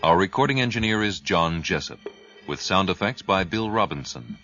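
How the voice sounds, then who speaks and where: A man with a deep, forceful voice reads scripted radio drama credits. His delivery is slow and formal, with faint music behind him on a slightly dull broadcast recording. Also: lively intonation. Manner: formal, measured. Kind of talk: scripted closing credits narration.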